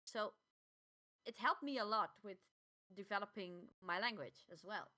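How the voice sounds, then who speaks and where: Dutch woman chatting as podcast cohost, really bright sharp voice, a bit halting in english. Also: mid-range voice. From Netherlands. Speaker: podcast co-host. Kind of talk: casual podcast chat.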